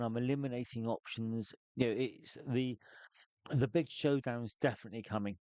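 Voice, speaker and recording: A man's voice: mid-range voice, a little mellow, no echo. A man giving tips on solo tabletop play in a loose, thinking-aloud way, with frequent restarts. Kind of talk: casual spoken advice.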